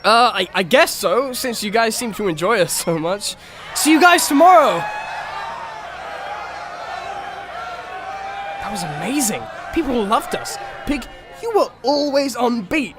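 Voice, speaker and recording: An actor playing an eager, friendly young horse who leads an animal band in an audio story, speaking earnestly and encouragingly. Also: male voice, very high-pitched, very animated, moderate pace, a crowd in the background, noisy background. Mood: elated.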